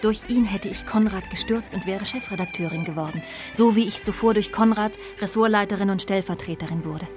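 A woman's voice: medium pitch, brisk, hardly any pauses, music in the background, natural voice. An actress playing a disillusioned woman of twenty-nine, narrating her life soberly in a radio drama. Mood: bitter, reflective. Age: young adult. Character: disillusioned 29-year-old daughter of a prostitute, coolly confessional.

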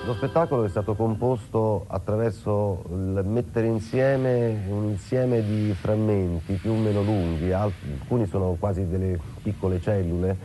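Deep-voiced man, explaining hesitantly. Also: steady tone, normal speed, few pauses, warm tone, soft background music. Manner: hesitant, searching for words. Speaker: theatre maker. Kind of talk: reflective spoken explanation.

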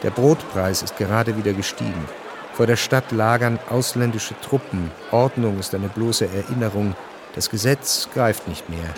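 A man's voice: lowish voice, moderate pace, noisy background. A man reading scripted literary narration as the narrator of a radio drama.

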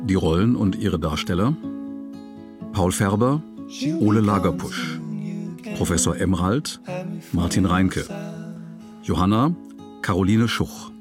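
A man reading scripted radio play credits as an announcer, measured and formal. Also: deep voice. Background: plucked guitar music.

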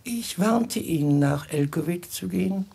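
A sly old beggar in a radio drama, proud of his wits. The voice is very raspy and gravelly, swinging up and down. He chatters like a smug schemer. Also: high voice, animated, measured pace, warm tone, exaggerated voice. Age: older. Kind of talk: German radio drama acting.

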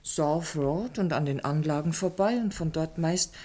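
A landlady in a radio mystery. Her voice is low for a woman, and her manner is brisk and matter-of-fact. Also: mellow. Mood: brisk, helpful. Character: Mrs. Strode, matter-of-fact landlady disturbed late at night. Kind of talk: German radio mystery drama acting.

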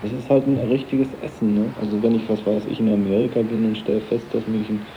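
A man's voice: fairly low pitch, level tone, fairly quick. A man talking casually and colloquially in an interview, often ending phrases with a questioning tag. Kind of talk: casual street interview talk. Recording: dull noisy 1980s cassette interview.

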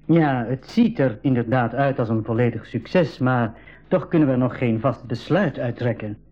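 An actor playing a confident, reassuring expedition leader who explains things with assurance in a 1980s school radio drama. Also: male voice, medium pitch, normal speed, soft background music, natural voice. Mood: grave, confident. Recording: dull, noisy 1980s radio recording.

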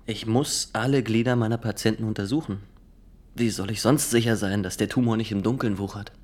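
A man acts out a zealous inspector of nineteen in a radio drama. His voice sits low for a man. He speaks formally, pressing his questions with an insistent yet halting delivery. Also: fairly even intonation, a little mellow, natural voice. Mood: formal, probing. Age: young adult. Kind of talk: German radio drama acting.

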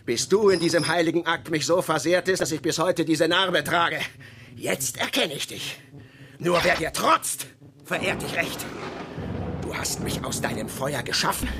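An actor playing an obsessed, defiant old sea captain in a radio play, declaiming with fierce grandeur. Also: male voice, fairly high pitch. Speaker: ship captain. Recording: noisy radio play recording.

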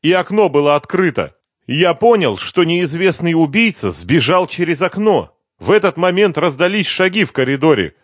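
A man's voice: fairly high pitch, big pitch swings, normal speed, no room sound, clean studio sound, pitched above the performer's own voice. A man voicing a frightened, hysterical male singer in an audiobook detective story, whining and pleading. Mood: anxious confession. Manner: pleading, whining, stammering protests. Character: frightened, hysterical, weepy male pop singer under questioning. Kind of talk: audiobook detective novel dialogue.

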